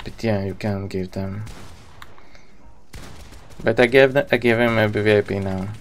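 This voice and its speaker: A man's voice: on the low side, fairly even intonation, a little slow, slight background noise. A man in his thirties with an Israeli accent, a native Hebrew speaker, chatting casually with viewers as he live-streams a video game.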